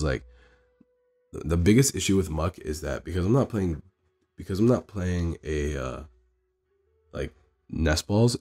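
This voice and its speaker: A man with a hoarse, failing voice rambling through casual play-by-play of his card game match, recorded on a phone in a local game store. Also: low-pitched, dark tone. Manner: rambling, self-correcting strategy talk. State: hoarse, losing his voice from illness.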